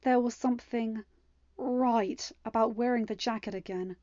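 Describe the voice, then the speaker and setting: A woman's voice: on the high side, fairly even intonation, moderate pace, warm tone, dry recording. A woman reading stories aloud as host of a fan fiction channel, on a close, slightly muffled home recording. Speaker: podfic reader and channel host. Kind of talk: narrated fan fiction reading with chatty, excitable sign-off.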